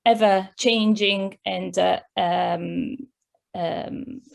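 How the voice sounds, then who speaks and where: A woman's voice: mid-range voice, vocal fry, darkish tone. A middle-aged woman from Italy with an Italian accent, a director answering interview questions over a video call, careful and full of fillers. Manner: careful, with many fillers and restarts. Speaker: director of a computing science centre, guest. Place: home room.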